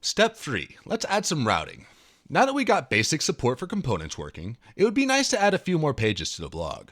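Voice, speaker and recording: A man in his thirties presenting a programming tutorial, mixing expressive, emphatic reading aloud with casual walkthrough narration. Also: on the high side, a little fast. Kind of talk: dramatic reading of article mixed with casual screencast walkthrough.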